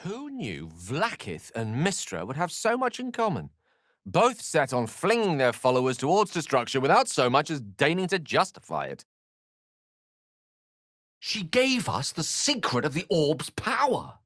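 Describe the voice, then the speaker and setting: A man's voice: a little high, huge pitch swings, measured pace, a bit bright, no room sound, studio quality, heavily acted. A man playing an eloquent, witty and self-assured wizard in a video game, with articulate, florid, wry delivery. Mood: earnest, measured. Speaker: game character voice actor. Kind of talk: theatrical video game character dialogue.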